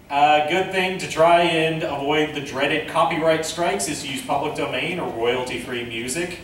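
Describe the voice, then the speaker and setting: A man gives a casual how-to panel talk, explaining things step by step. He is heard from a distance in a very reverberant room, with faint noise behind him. His voice sits at an ordinary middle pitch. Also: fairly bright tone. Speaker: panel presenter. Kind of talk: instructional convention panel talk. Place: indoor convention panel room, recorded from a distance.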